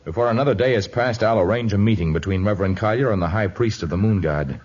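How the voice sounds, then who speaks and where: A man's voice: fairly low pitch, fast, darkish tone, a little hiss or hum, close to an everyday voice. An actor playing a commanding, fatherly jungle hero with formal, declamatory phrasing, in a 1950s radio drama on an old muffled recording. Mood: amused, helpful. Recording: dull vintage radio recording.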